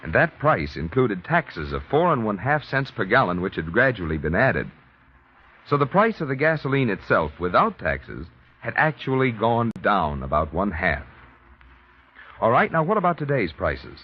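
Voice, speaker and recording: Husky, emphatic American male radio announcer. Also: lowish voice, sing-song, normal speed. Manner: emphatic, persuasive. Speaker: commercial announcer. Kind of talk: 1940s radio advertising. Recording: dull vintage radio recording.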